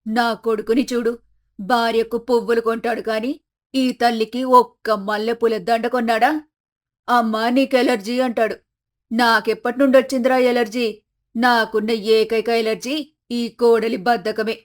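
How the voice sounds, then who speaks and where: A high-voiced, feisty older village matriarch played by a woman for an animated family story. She grumbles and declares her grievances with indignant self-importance. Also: measured pace, close to an everyday voice. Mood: grumbling. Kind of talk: animated moral story acting.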